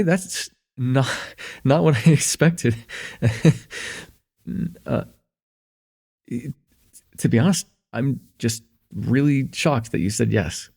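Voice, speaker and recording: A man acts out a husky, slightly gravelly young romantic in an ASMR roleplay. He is shy and tender, teasing in a halting, nervous way, with a lively rise and fall in pitch. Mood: shy, surprised. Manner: halting, stammering, nervous, conversational. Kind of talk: slice-of-life ASMR roleplay.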